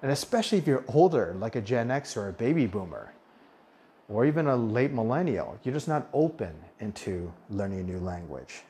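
A man's voice: mid-range voice, very animated, fairly quick, warm tone, a little hiss or hum. A man in his forties hosting a podcast, talking casually in a monologue with frequent restarts and self-corrections. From United States. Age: 40s. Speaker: podcast host on living abroad.

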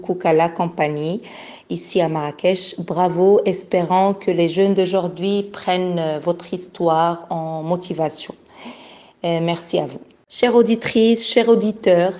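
A woman radio host with a low, warm voice. She interviews politely and formally and speaks to her listeners in a courteous broadcast manner.

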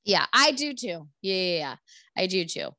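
A woman's voice: fairly low pitch, very animated, normal speed, pushed and bright, no room sound. Chatty young woman, dry close sound. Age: 20s. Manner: chatty, laughing, full of "like" fillers. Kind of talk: casual chat.

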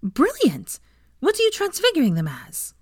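A woman's voice: high-pitched, very animated, brisk, darkish tone, no room sound, clean studio sound, voice pushed up. A woman voicing a fiery, scheming teenage witch in a story reading, bold and eager. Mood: gleeful.

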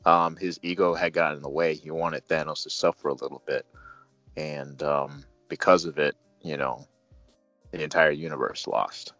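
A man casually reviewing a film as host, speaking in a halting, thoughtful, enthusiastic fan's way. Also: a bass voice, fairly even intonation, a bit bright, no room sound. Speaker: movie review show host. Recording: dull lo-fi sound, music behind.

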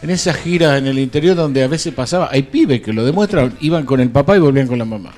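A man's voice: medium pitch, fast, a little mellow, natural voice. A man playing a cheeky celebrity guest who reminisces in a live comedy radio play. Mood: teasing, nostalgic.

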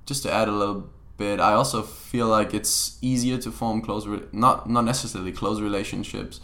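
Bright-voiced chatty male interviewer. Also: lowish voice, steady tone, normal speed. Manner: chatty, many "um"s and "yeah"s. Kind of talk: casual interview conversation.

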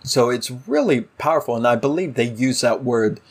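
A man's voice: mid-range voice, lively intonation, normal speed, husky. A man co-hosting a podcast, discussing in a casual analytical way, earnest and emphatic, building his points through repetition. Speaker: podcast co-host.

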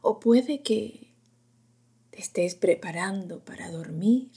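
A woman narrating a guided sleep meditation in a gentle, unhurried, whispery voice, in short phrases with long pauses. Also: medium pitch. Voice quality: breathy, whispery, often dropping into whisper.

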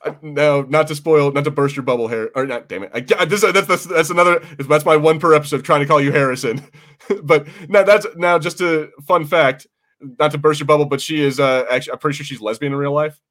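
An American man hosting a podcast in casual chat, animated and sweary, acting out imagined quotes as he goes. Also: a little high, a little fast. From United States. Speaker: podcast host.

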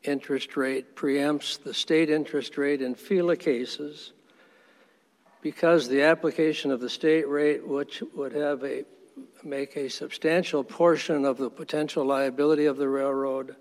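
A man arguing as attorney for the respondent before an appellate court, formal and measured, respectfully firm when he disagrees. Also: medium pitch. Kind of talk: appellate oral argument. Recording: long courtroom reverb.

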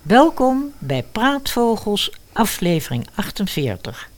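A woman's voice: mid-range voice, big pitch swings, takes it slowly. A woman hosting with a scripted audio drama introduction, delivered in a theatrical, mock-solemn manner. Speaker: host, announcer.

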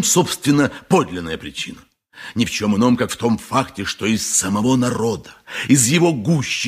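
Wily populist lawyer, low husky voice, fervent, declamatory, dramatic pauses, radio play. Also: male voice, exaggerated voice. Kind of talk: 1980s radio play monologue.

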